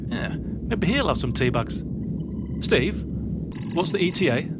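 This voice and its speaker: A man's voice: fairly high pitch, big pitch swings, unhurried. A man playing a flippant, easygoing spaceship captain in a sci-fi audio drama, informal and wisecracking. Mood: wry, casual. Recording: loud spaceship engine hum behind.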